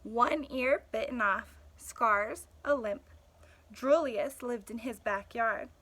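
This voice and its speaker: Lady doing a cynical street dog telling his storybook tale, high bright voice, blunt and sardonic. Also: slow. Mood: wry, animated. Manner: sardonic, punchy, conversational. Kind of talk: storybook first-person narration.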